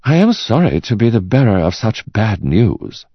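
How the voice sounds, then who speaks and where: A man voicing a courteous male art expert in an audiobook, speaking in a formal, deliberate, politely condescending way. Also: on the low side, sing-song, no echo, dull audio, pitched above the performer's own voice. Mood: polite condescension. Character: measured, courteous male art expert delivering bad news.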